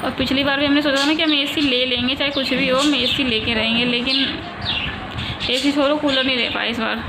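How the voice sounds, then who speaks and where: A woman's voice: high-pitched, fairly even intonation, a little fast. A woman in her thirties, from a village in India, chatting casually and rambling on in a home vlog. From rural India. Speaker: vlogger. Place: home in the city. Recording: loud background noise, traffic.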